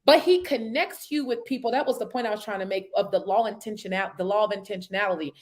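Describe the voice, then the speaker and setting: A woman in her thirties giving motivational, preachy talk as a network marketing mentor, with quick emphatic affirmations. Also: mid-range voice. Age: 30s. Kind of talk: motivational casual chat.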